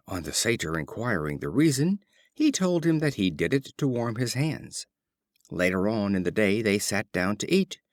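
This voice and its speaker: A man reading storybook fables as scripted narration, in an expressive, deliberate storytelling manner. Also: fairly low pitch, no room sound, clean studio sound. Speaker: audiobook reader.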